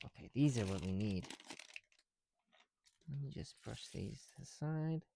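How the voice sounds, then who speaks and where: A man's voice: mid-range voice, expressive, a little slow, creaky, noisy background. A man doing casual ASMR commentary, muttering to himself close to the mic as he works through a hands-on task. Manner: talking to self, musing aloud. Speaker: ASMR video creator.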